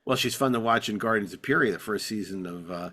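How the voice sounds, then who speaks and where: A man's voice: on the low side, fast talker, bright, forceful tone. A man hosting a podcast in casual, chatty conversation, talking like an enthusiastic fan. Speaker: podcast host. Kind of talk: casual chat.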